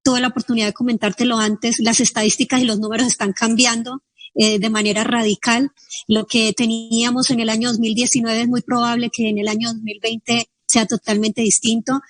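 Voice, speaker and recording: Woman, Ecuadorian accent, fairly high voice, dry sound, fluent explaining. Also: no echo. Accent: Ecuadorian Spanish. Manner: fluent, explanatory, cites figures. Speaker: guest. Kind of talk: interview answer.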